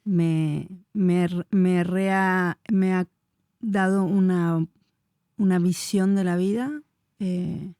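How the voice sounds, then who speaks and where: A woman's voice: lowish voice, fairly even intonation, very slow, warm tone, smooth, dry recording, studio quality. A woman in her forties from Chile, a dance teacher answering interview questions in a searching, hesitant way with many fillers. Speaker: dance teacher and movement researcher.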